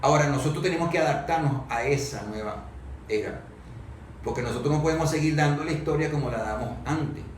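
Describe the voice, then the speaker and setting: A Venezuelan man in a political discussion, speaking haltingly and often repeating words as he works toward his point. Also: on the high side. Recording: very echoey room, distant mic, faint noise.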